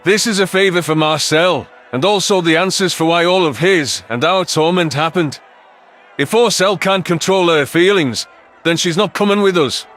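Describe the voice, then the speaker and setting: Man voicing an impatient alien soldier, fairly high. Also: normal speed, fairly bright tone. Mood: stern. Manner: exasperated, confrontational. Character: impatient, suspicious alien soldier with claws and bared teeth.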